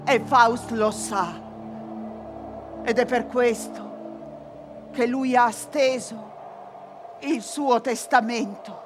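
Brief: portentous female chorus, high pitch, scripted tragic narration over prominent music. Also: fairly even intonation. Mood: ominous. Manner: deliberate, portentous phrasing. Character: female chorus narrator, solemn and foreboding storyteller. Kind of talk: dramatic scripted narration, radio play.

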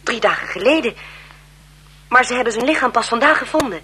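A chatty, deferential governess in a seventies radio play, with a bright, forward voice. She is polite and eager, and dramatic with news. A faint hiss sits on the old recording. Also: a little high, lively intonation, brisk. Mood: shocked, gossipy. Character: deferential, chatty, gossipy governess to a marquise's children. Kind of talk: 1970s Dutch radio drama acting.

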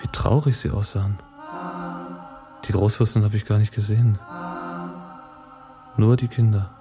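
A man playing an anguished, sensitive young revolutionary poet in a radio drama, speaking in a halting, intense, confessional way. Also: lowish voice, fairly even intonation, music in the background, lightly acted. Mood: anguished, confessing. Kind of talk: serious stage-like radio drama acting.

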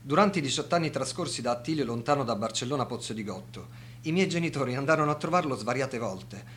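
An actor playing the grave, urgent brother of a dead doctor in a radio drama. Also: male voice, mid-range voice, animated, fast, fairly bright tone, slight background noise, lightly acted. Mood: firm, grave.

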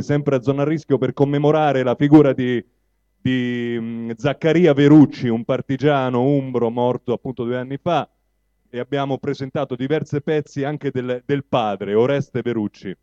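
Guy presenting poetry, slow and measured, mellow voice, muffled lo-fi live recording. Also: medium pitch, no room sound. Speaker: poetry reader at a public reading event. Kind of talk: spoken introduction and declaimed recitation of poetry. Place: live reading at a public venue. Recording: dull, lo-fi live event recording.

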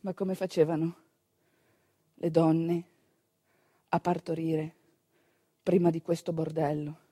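A woman narrating a theatrical monologue in an expressive, deliberately phrased way. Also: low voice, slightly rough, no echo. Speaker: stage actress and narrator.

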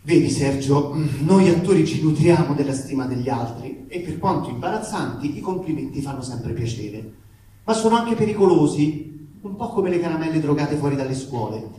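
A man's voice: on the high side, expressive, normal speed, mellow. A man gives a comic theatrical reading of a letter, emphatic, aggrieved and ironic, in colloquial language with swearing. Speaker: actor reading aloud. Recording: echoey room, distant mic, faint noise.

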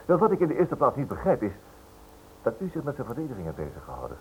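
A man's voice: medium pitch, huge pitch swings, quick pace. An actor playing a polite, inquisitive male writer who stammers hesitantly over his words in a Dutch radio drama. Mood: probing. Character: inquisitive, hesitant writer probing a criminal case. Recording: noisy old radio drama recording.

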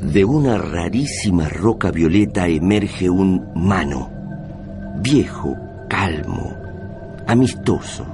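A man's voice: deep voice, expressive, takes it slowly, vocal fry, over music. A man with an Argentine accent narrating a radio drama in an intense, literary, scripted style.

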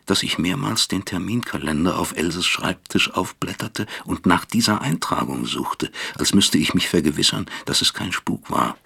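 A low-voiced, husky man of middle age, a brooding professor in a radio play. He weighs his phrases like arguments, bitter and sardonic, leaning hard on key words. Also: sharp tone, exaggerated voice. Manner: deliberate, rhetorical, emphatic, sardonic. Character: bitter, brooding East German male doctor and professor. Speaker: actor. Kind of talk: German radio drama acting, dialogue and inner monologue.

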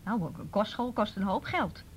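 A woman's voice: medium pitch, lively intonation, moderate pace, a little hiss or hum, natural voice. An actress playing a cold, haughty mother in a radio drama, speaking formally and scornfully. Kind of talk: Dutch radio drama acting.

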